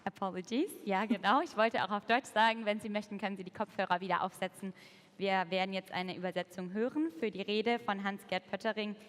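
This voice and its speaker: A woman's voice: mid-range voice, steady tone, fairly quick. A woman making live announcements as the host of a formal ceremony. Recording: reverberant hall sound.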